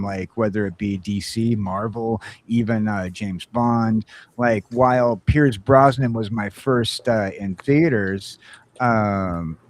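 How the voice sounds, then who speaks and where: Male podcast host, lowish voice, informal and rambling, often restarting his sentences. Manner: rambling, halting, frequent false starts. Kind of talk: casual chat about film franchises.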